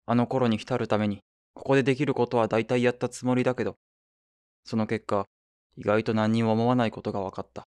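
A man's voice: mid-range voice, clear, resonant tone, no echo, studio quality, lightly acted. An actor playing a wistful, restless young man back in his hometown, narrating a radio drama reflectively and understatedly.

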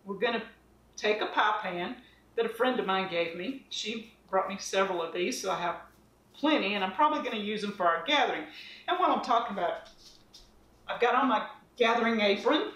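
A woman's voice: mid-range voice, lively intonation, brisk, a bit bright. A middle-aged woman, chatting homespun-style as she demonstrates a recipe in her home kitchen. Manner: chatty and homespun, talking while mixing dough by hand. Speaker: cooking channel host. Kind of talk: casual cooking demonstration. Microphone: camera across the counter. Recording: roomy kitchen, mic at a distance.

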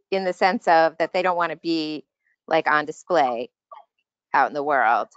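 A woman with a lowish, very smooth voice talks casually in a meeting. The video call audio is dry and a little dull. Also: no echo. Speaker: meeting participant.

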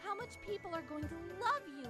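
A woman's voice: very high voice, animated, fast. A woman playing an earnest, hopeful young dragon heroine in a video game. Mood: persuasive. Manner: sincere, persuasive. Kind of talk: video game cutscene acting. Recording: game audio with music behind.

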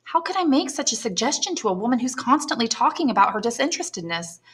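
A woman's voice: a little high, sing-song, fast, fairly bright tone, pitched above the performer's own voice. A woman reading aloud and voicing an anxious young woman in love, earnest and pleading. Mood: anxious, tender. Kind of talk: audiobook character voice.

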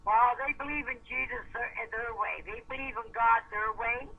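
A woman's voice: on the high side, normal speed. A middle-aged or older woman from Canada, answering briefly and with reserve in a phone conversation. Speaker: call recipient. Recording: tinny, band-limited phone line.

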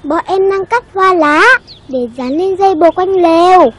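A woman's voice: very high voice, a little slow. A woman voicing an earnest, plaintive little child in a cartoon, on a noisy soundtrack. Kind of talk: cartoon voice acting.

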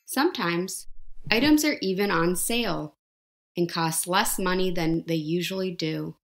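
A woman narrating a scripted life skills lesson, explaining each step slowly and simply and asking the viewer questions. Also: fairly low pitch.

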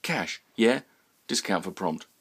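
A man's voice: low voice, animated, fairly bright tone, breathy edge, heavily acted. A man voicing a blunt, stubborn sign maker in a read-aloud story, speaking with slangy emphasis. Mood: belligerent. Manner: blunt, slow and emphatic, informal. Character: stubborn, truculent tradesman, a sign maker, father.

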